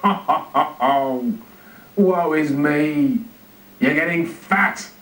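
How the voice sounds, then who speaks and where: A man's voice: a little high, takes it slowly, fairly bright tone. A man voicing a self-important, self-pitying zookeeper who mutters and exclaims dramatically to himself in a children's storybook. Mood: gloomy, self-pitying. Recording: roomy, distant TV speaker playback.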